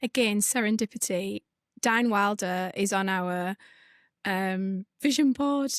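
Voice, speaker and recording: A woman with a Yorkshire accent and a husky, worn voice, chatting as a podcast guest and laughing often. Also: mid-range voice, slow, no echo, clean studio sound. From Northern England. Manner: laughs often, self-deprecating. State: husky from overuse. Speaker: guest, events business founder. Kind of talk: casual podcast conversation.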